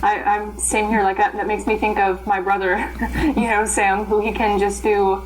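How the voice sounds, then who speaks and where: Animated young woman, chatty and rambling. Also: medium pitch, moderate pace, crowd noise, low-quality recording. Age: young adult. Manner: animated, rambling, laughs often. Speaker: interpreter guest. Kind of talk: casual interview chat.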